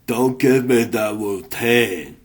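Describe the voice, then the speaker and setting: A man voicing a gruff, grumbling tough-guy pig hero with surly, impatient, streetwise talk in a cartoon comic dub. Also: mid-range voice, slow, voice pushed down, natural voice. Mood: impatient, menacing.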